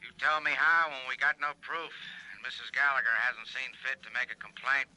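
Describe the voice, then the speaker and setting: An actor playing a dry, slangy, no-nonsense police sergeant in a 1940s radio drama style. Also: male voice, mid-range voice, steady tone, fairly quick, pushed and bright, poor audio. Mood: dry, businesslike. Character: matter-of-fact, world-weary male police sergeant. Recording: old-time radio recording.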